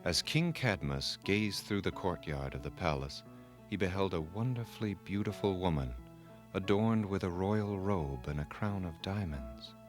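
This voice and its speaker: A deep-voiced man tells a children's myth from a script. His delivery is formal and measured with dramatic emphasis. Soft music plays behind him. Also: expressive. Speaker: storyteller.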